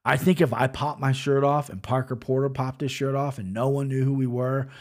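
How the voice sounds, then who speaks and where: A man's voice: mid-range voice, level tone, fairly quick. A man breaking down fight betting lines in a casual way. He is brash and profane, and he rambles. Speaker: sports betting picks host.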